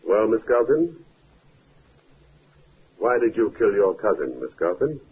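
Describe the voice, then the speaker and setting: An actor playing a calm, shrewd police inspector in a 1950s radio drama, measured, probing and understated. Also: male voice, lowish voice, slightly rough, heavily acted. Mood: shrewd, firm. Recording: narrow-band, hissy 1950s radio recording.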